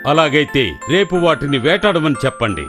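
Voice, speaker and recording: A man plays a commanding king from a children's cartoon tale. The voice is brisk and imperious, a little high for a man, with music behind it. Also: lively intonation, natural voice. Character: curious, imperious human king out hunting. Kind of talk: children's cartoon story acting.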